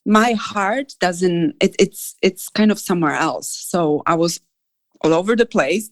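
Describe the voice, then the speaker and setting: Polish-accented woman, low and dry. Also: dry recording. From Poland. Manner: emphatic, frank, swears. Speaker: coach and trainer. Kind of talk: personal story in audio chat.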